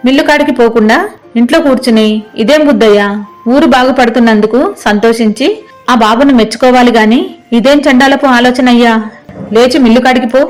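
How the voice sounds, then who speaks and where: Female actor as no-nonsense rural wife, fairly high, rustic scolding, music underneath. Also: fairly even intonation, fairly quick, close to an everyday voice, poor audio. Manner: rustic colloquial nagging. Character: sensible, scolding village wife. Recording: music behind the voice.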